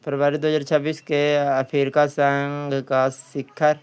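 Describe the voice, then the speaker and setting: A man's voice: on the high side, monotone, measured pace, clean tone. A man with a Bihari accent teaching an exam quiz lesson, reading questions aloud, often repeating them, then giving the answers.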